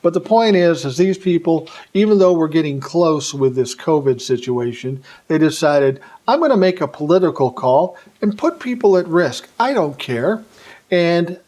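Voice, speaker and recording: Higher-voiced American man giving blunt political commentary. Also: expressive, normal speed, darkish tone. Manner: rhetorical questions answered by himself; blunt, colloquial, emphatic phrasing. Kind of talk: opinionated political monologue to an audience.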